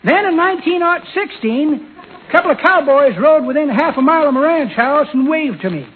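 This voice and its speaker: A man's voice: very high voice, lively intonation, brisk. An actor playing a folksy, rambling, cheerful old man in 1940s radio comedy style. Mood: rambling, comic grumbling. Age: older. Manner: folksy, rambling, calls people kids and daughter. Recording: tinny, noisy 1940s radio recording.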